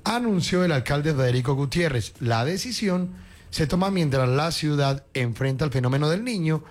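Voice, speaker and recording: Colombian radio host, higher-pitched male voice. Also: animated, normal speed. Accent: Colombian, Paisa. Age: 50s. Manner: conversational, colloquial with diminutives. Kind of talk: reading news with informal chat. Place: radio studio. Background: music under the voice.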